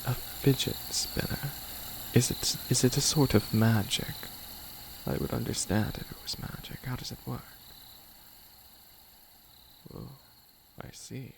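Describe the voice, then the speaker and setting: Centuries-old skeleton demon lord for ASMR roleplay, male actor: very gravelly, unhurried, soft and half-whispered, courtly, hesitant, quaintly curious about humans. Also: medium pitch, background noise. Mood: curious, puzzled. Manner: soft-spoken, partly whispered, hesitant, old-fashioned formal wording.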